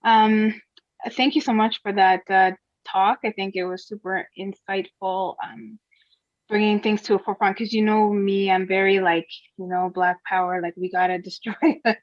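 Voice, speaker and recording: A woman's voice: medium pitch, level tone, normal speed, no echo. Hesitant young woman, dry dull sound. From Canada. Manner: hesitant, many fillers. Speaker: audience member asking a question. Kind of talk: casual question.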